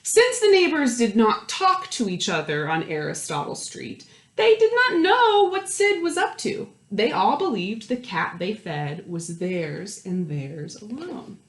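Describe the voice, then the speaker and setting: A woman reading a picture book aloud to young children, expressive, with questions and asides to her listeners. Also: mid-range voice, unhurried. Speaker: children's storytime host and story reader. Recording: roomy, echoey indoor sound.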